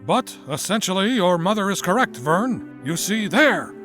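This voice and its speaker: A man voicing an eccentric, excitable middle-aged inventor in a motion comic, theatrical, wordy and forever digressing. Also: high-pitched, normal speed, faint music behind. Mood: grandiose, rambling. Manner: verbose, digressive, grandiose.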